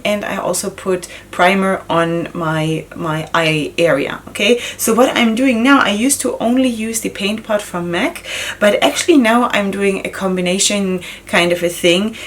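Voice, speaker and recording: Chatty raspy woman with a cold. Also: mid-range voice. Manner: chatty, self-questioning, laughs often. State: hoarse from a cold. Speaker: beauty vlogger. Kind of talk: casual makeup tutorial talk. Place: small indoor room.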